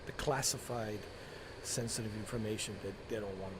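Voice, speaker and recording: A man's voice: lowish voice, steady tone, normal speed. A man from Bosnia with a slight Bosnian accent, rambling through casual gameplay commentary and talking straight to his viewers. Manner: rambling, opinionated, talks to viewers as "guys" and "bro". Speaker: gaming video creator. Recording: loud background noise.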